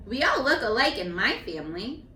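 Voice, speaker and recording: A woman reading a picture book aloud to young children in an expressive, instructive way, speaking to them directly as a teacher. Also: fairly high pitch, a little fast, a little hiss or hum. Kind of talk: read-aloud for young children with questions to the listeners. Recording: echoey room, distant microphone.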